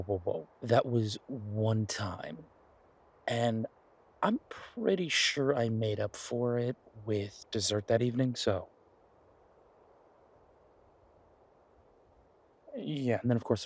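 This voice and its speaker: A man playing an affectionate, eager boyfriend in an audio roleplay, talking in a casual, halting, coaxing way with a husky voice. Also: fairly low pitch. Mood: coaxing, defensive. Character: affectionate, eager boyfriend pitching grand ideas to his werewolf girlfriend.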